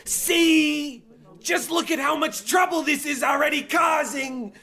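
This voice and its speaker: A man's voice: extremely high pitch, takes it slowly, pushed and bright, shouting, exaggerated voice. A man playing a gossipy, worrying tenement neighbour, wordy and mock-dramatic in an old-time radio comedy parody. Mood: worried.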